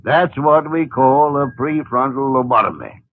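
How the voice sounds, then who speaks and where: An actor playing a gruff, blustering older senior doctor in a 1950s radio drama. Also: male voice, medium pitch, measured pace. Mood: explaining. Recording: tinny lo-fi 1950 radio broadcast.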